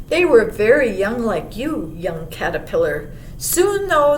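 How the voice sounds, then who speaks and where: A woman voicing a gentle, wise old male monarch butterfly in a storybook, telling a child of travels with unhurried wonder. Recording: roomy, distant mic, faint rumbling noise.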